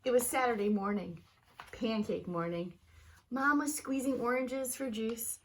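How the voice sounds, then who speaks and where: A woman with a fairly high voice reads a picture book to children. Her delivery is expressive and teacherly, leaning on key words and drawing some of them out for young listeners. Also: measured pace, slight background noise, low-quality recording. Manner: talks directly to young listeners. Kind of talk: expressive children's storybook read-aloud.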